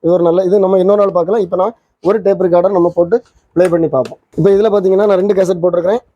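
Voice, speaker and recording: A man's voice: high voice, fairly even intonation, dark tone, dry recording. A man hosting his channel, casually demonstrating a vintage twin-cassette tape recorder and pointing out its parts. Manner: quick, pointing out parts as he goes. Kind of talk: casual product demo. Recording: dry, dull lo-fi sound.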